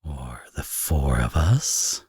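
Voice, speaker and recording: A man's voice: extremely low pitch, sing-song, slow pace, darkish tone, breathy edge, exaggerated voice. A man playing a teasing, flirtatious villain and doting father in an intimate romance audio roleplay. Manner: playful, smug, sarcastic teasing.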